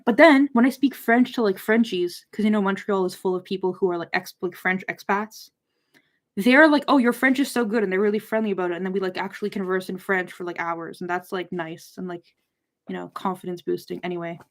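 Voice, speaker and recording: A woman's voice: fairly low pitch, steady tone, brisk. Casual, rambling young woman. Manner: informal, rambling, digressive. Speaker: livestream host of a book discussion. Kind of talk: casual chat with live viewers.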